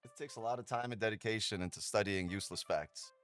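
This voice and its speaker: A man's voice: lowish voice, fast talker, fairly bright tone, soft background music. A man hosting a day-trading livestream, chatting casually, joking and swearing often. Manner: joking, profane, laughs often.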